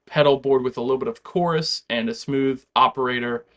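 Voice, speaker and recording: A man's voice: mid-range voice, brisk, fairly bright tone. A man casually explaining how he produced and recorded a song at home, in a loose, chatty way. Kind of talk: casual tutorial walkthrough.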